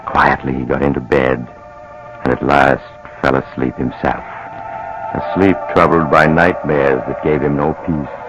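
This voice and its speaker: An actor in an old-time radio drama serving as the grave, ominous, deliberate narrator of a thriller. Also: male voice, very deep voice, flat delivery, lo-fi sound, music in the background.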